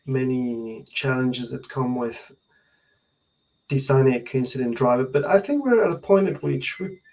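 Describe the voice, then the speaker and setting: A man in his thirties speaks as an engineer being interviewed on a video call. His voice sits at a middle pitch. He explains technical detail hesitantly, often pausing mid-sentence to gather his thoughts. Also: a little mellow, low-quality recording. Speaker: loudspeaker research engineer, interview guest. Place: indoor room at home, on a video call.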